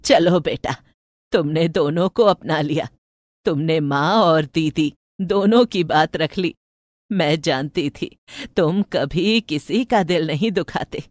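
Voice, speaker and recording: A woman voicing an older, traditional village mother in an animated moral story, opinionated yet loving, with a firm, homely manner. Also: deep voice, slow, no echo, studio quality, pitched below the performer's own voice. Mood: proud, loving.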